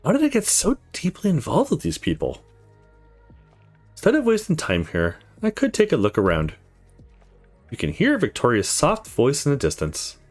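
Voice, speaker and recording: A man's voice: on the high side, wildly swooping pitch, normal speed, pitched above the performer's own voice. Man reading as introspective young male lead, tender yet guarded, very varied intonation, visual novel, light music behind. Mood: reflective, tender. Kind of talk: visual novel narration read aloud.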